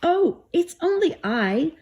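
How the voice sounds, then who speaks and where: A woman's voice: extremely high pitch, sing-song, slow, mellow, pitched above the performer's own voice, exaggerated voice. A woman voicing a tiny, timid young billy goat in a storybook, pleading in a very small voice.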